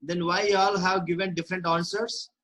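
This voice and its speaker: A man's voice: high-pitched, flat delivery, fairly quick, a bit bright, rough recording. A man, teaching a class and drilling students with short repeated questions. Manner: patient, repeating short prompts.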